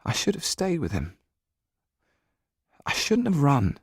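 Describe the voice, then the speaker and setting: Man playing raw, defiant orphan boy, pitched up. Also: huge pitch swings, slow, husky, no room sound, clean studio sound, heavily acted. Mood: guilt-stricken. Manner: emotional, through gritted teeth or shouting.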